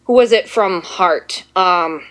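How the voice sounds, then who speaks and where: Casual guest, woman with a low New voice, talkative and candid. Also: slow, a bit bright. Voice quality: deeper voice for a woman. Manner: chatty, self-deprecating, talks at length. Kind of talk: casual chat.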